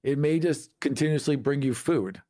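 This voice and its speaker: A man's voice: mid-range voice, lively intonation, moderate pace, mellow. A man co-hosting a podcast, chatting casually and off the cuff about tabletop games. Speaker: podcast co-host. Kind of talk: casual chat about tabletop game monsters.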